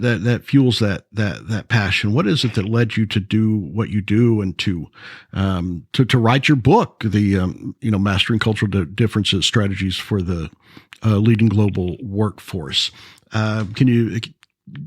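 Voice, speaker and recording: A man hosting a radio interview, talking haltingly with many restarts as he asks his questions. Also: lowish voice, no echo, studio quality. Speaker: radio host.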